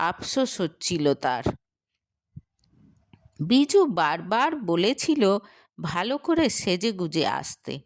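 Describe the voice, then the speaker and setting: A woman's voice: fairly high pitch, no room sound, clean studio sound. A woman reading a scripted romantic short story as its narrator.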